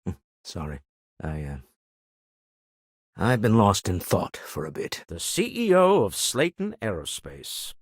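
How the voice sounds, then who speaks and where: An older man playing a wealthy, pragmatic businessman in a video game, speaking in an articulate, wry, measured way. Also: sits low, wildly swooping pitch, slightly rough, dry recording, clean studio sound, heavily acted. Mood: composed, urbane. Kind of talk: video game character dialogue.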